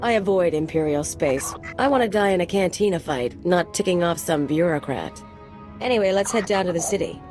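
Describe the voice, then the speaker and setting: A woman's voice: fairly low pitch, lively intonation, brisk, a little mellow, natural voice. An actress playing a brash, flippant young female sidekick with a casually violent streak in a video game. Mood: cocky. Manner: flippant, swaggering. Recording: game music behind the voice.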